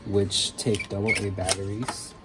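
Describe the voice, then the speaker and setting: Deep-voiced young man demoing a sound board. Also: measured pace, loud music behind the voice. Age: late teens. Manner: relaxed, chatty explaining. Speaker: student sound engineer. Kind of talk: casual equipment demo. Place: theater sound booth.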